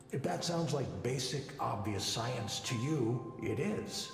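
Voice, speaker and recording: A confident male psychologist addresses a conference in a visual novel game. His delivery is measured and didactic with lively, varied pitch and some gravel. His voice rings through a reverberant hall over faint music. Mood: pointed, assured. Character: confident male psychologist, former tech lead, lecturing an audience. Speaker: conference speaker.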